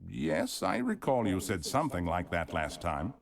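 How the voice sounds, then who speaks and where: An evasive, smooth museum collector. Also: male voice, moderate pace, heavily acted. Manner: polite, evasive, lively pitch. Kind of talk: video game voice acting.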